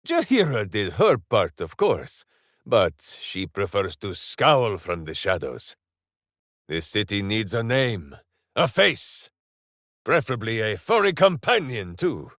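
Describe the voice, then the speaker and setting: A boisterous, simple-minded hero of a fantasy game, big-hearted and utterly earnest. His pitch leaps and drops theatrically as he delivers each pronouncement slowly and grandly, in a dry, close recording. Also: a bit bright, dry recording, heavily acted. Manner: bombastic, earnest, speaks of himself in third person. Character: big-hearted, boisterous, dim-witted heroic ranger warrior. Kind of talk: video game character voice lines.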